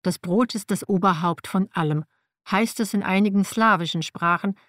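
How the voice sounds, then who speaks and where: A woman's voice: mid-range voice, normal speed, a bit bright, no room sound, studio quality. A woman whose first language is Slavic reads a personal nonfiction text aloud in a wry, matter-of-fact way. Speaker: narrator.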